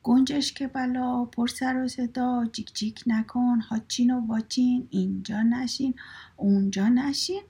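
A woman voicing fed-up townsfolk who chant a rhythmic scolding rhyme at a bird, in a breathy, husky voice. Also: a little high, fairly even intonation, warm tone, natural voice.

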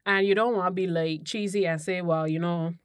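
A woman's voice: on the low side, fairly even intonation, brisk, dry recording. A woman of thirty co-hosting a podcast, talking in a reflective, rambling way. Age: 30s. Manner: reflective, loses her train of thought. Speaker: podcast co-host. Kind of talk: casual podcast chat.

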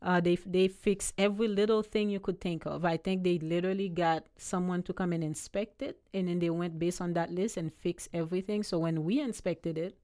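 A woman's voice: lowish voice, steady tone, brisk, few pauses, mellow, no room sound, clean studio sound. A woman from Haiti with a Haitian and Caribbean island accent, a realtor chatting in an interview, laughing often and repeating words as she thinks. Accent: Haitian, with U.S. Virgin Islands Caribbean touches. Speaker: realtor guest. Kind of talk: casual interview chat.

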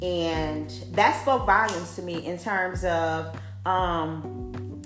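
A woman's voice: fairly low pitch, very slow, roomy sound, over music. Blunt, profane woman in her forties. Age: 40s. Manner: blunt, profane, conversational, says "like" a lot. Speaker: podcast host. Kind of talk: candid personal monologue.

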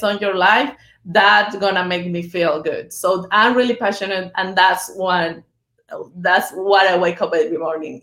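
A woman's voice: mid-range voice, fairly bright tone. Spanish-accented woman, earnest guest, echoey sound. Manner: earnest, plain-spoken, speaks simply in a second language. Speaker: title company business development executive. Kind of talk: podcast interview guest.

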